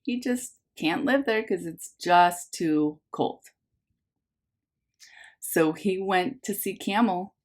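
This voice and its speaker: A woman's voice: fairly low pitch, takes it slowly. A woman teacher reading a picture book aloud to young children in a sing-song way, putting simple questions to them as she goes. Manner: animated, sing-song, simple phrasing addressed to small children.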